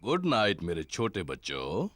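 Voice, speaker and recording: A man voicing a big, kindly, easygoing father pig in a children's cartoon, speaking with indulgent warmth. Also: low-pitched, huge pitch swings, a little slow, slight background noise, full character voice. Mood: fond.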